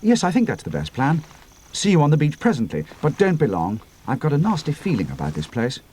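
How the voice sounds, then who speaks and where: A man voicing a keen, uneasy young airman in a storybook reading, brisk and alert. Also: fairly high pitch, wildly swooping pitch, dark tone, slightly rough, exaggerated voice. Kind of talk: dramatic audiobook character reading.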